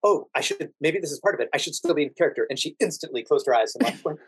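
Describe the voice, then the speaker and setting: An American man with a calm, quiet, measured way of talking, speaking conversationally as a podcast guest. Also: fairly high pitch, slightly rough, no room sound. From Washington DC, United States. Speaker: podcast guest, actor and standardized patient. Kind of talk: conversational storytelling interview.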